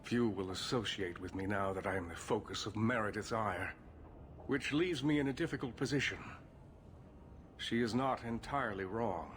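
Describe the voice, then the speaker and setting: An actor playing a grave elder male mage leader in a video game, speaking in a formal, careful, measured way. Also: fairly low pitch, fairly bright tone, a little hiss or hum, lightly acted. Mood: grave, guarded. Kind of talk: video game cutscene acting.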